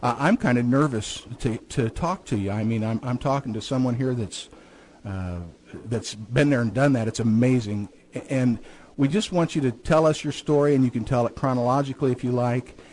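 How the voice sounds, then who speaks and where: A man's voice: medium pitch, moderate pace, hardly any pauses, a little mellow. Earnest radio host man. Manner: earnest, stumbles and repeats when speaking freely. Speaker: radio talk show host. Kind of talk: radio host introduction and chat.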